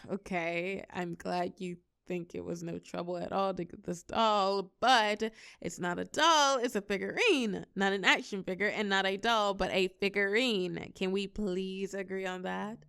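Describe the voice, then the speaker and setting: Girl voice actor doing a sweet shy nerdy young woman, romantic roleplay, hesitant n sincere, some vocal fry, very dry sound. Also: medium pitch, fairly even intonation, fairly bright tone, dry recording. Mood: mock stern. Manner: hesitant, halting, earnest. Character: shy, sweet young woman, geeky collector, touched by confession. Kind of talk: intimate one-sided romantic audio roleplay.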